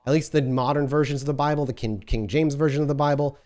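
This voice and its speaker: A man's voice: medium pitch, fairly even intonation, quick pace, a little mellow. A man running a tabletop role-playing game as storyteller, narrating scenes and answering players, often restarting his sentences. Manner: descriptive, restarts mid-sentence.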